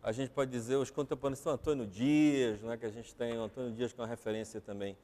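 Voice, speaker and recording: A man, hosting a TV interview in a studio, lively and chatty, often cutting in. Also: medium pitch, fast talker. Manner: lively, chatty, talks over the guest. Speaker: TV show host. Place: TV studio set. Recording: long studio reverb.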